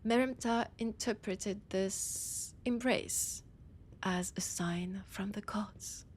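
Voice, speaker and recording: A woman playing a haughty, annoyed vampire scholar with a French accent, speaking in a theatrical monologue with dramatic pauses. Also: mid-range voice, in a whisper, close to an everyday voice. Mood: wry, condescending. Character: annoyed, haughty female vampire scholar guiding a library guest. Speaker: audio roleplay performer. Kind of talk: slow theatrical in-character monologue.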